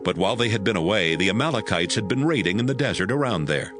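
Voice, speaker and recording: A man's voice: on the low side, animated, fast, music in the background. A man narrating a dramatized audio Bible as scripted storytelling.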